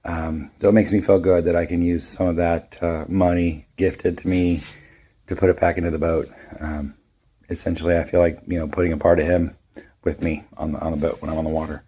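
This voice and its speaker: A man in his fifties with a raspy, hoarse voice, chatting casually and rambling through a boat repair vlog. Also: deep voice, flat delivery, a little fast, warm tone. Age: 50s. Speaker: boat owner vlogger.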